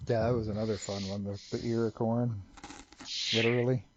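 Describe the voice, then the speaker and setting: A man's voice: on the low side, little pitch movement, fairly quick. A man co-hosting a podcast, casually trading dry, sarcastic remarks about a movie. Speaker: podcast co-host. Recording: muffled lo-fi audio with noise.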